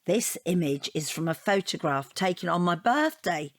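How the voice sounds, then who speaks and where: Chatty, flustered divorcee, close and dry. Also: female voice, on the low side, animated, normal speed, dry recording. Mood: flustered, wistful. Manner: rambling, earnest, easily flustered. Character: chatty, self-deprecating divorced woman taking an art appreciation class. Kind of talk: naturalistic audio drama dialogue.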